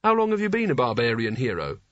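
A man's voice: mid-range voice, wildly swooping pitch, fast, no room sound, highs cut off. A man voicing a hapless, reluctant wizard in an audiobook, with a weary and slightly pained manner. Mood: curious. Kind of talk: audiobook character dialogue.

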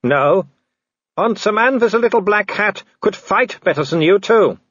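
A man's voice: high voice, lively intonation, a bit bright, no room sound, highs cut off, voice pushed up. Male werewolf villain, mocking, cheerfully cruel, raised swooping voice, storybook. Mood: gleeful taunting. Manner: mocking, halting non-native phrasing.

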